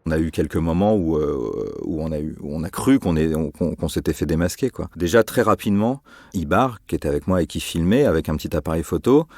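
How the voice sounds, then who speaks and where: Deep-voiced man telling a story. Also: lively intonation, a little fast, a little mellow. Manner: hesitant, many fillers and restarts. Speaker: journalist guest. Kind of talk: podcast storytelling.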